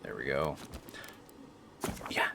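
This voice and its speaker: A man hosting a gaming video with casual, playful commentary and dry joking, game noise behind him. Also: slow. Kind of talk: casual let's play commentary with improvised role-play.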